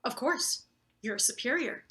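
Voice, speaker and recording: A woman playing a flustered, deferential new boss in a live play reading, halting and anxious as they fawn over a superior. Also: fairly high pitch, animated, bright, forceful tone. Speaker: actor. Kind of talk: live table read of satirical play.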